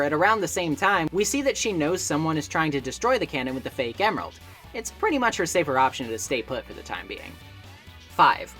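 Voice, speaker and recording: A woman with a low voice narrates a scripted video essay. Her intonation is lively and moves around a lot. She keeps it conversational, slipping in wry asides as she explains.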